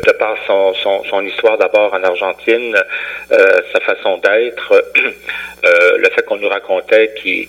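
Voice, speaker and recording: A priest talking in a halting radio interview clip, with many fillers. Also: male voice, low-pitched, a bit bright, low-quality recording.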